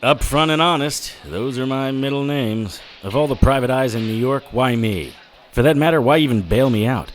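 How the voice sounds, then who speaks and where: An actor playing a wry, hardboiled 1940s private eye in a noir radio drama, narrating and trading sardonic lines. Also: male voice, mid-range voice, animated, normal speed, faint music behind, lightly acted.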